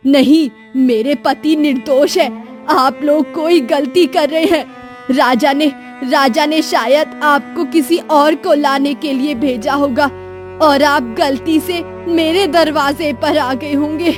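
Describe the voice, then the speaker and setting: Woman acting caring farmer's wife, high voice. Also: steady tone, slow pace, faint music behind. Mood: distressed pleading. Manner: gentle, concerned. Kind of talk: dramatised moral story for cartoon.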